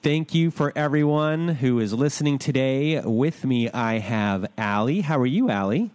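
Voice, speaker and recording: A man's voice: medium pitch, animated, moderate pace, darkish tone, no echo. A man hosting a podcast interview, rambling loosely with lots of "uh"s and interrupting himself. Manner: rambling, filler-heavy, self-interrupting. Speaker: podcast host.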